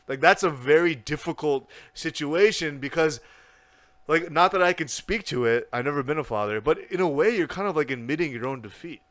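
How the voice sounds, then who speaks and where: A young man talking as a podcast guest, animated and blunt, with lots of restarts and casual swearing. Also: on the high side, brisk, no echo.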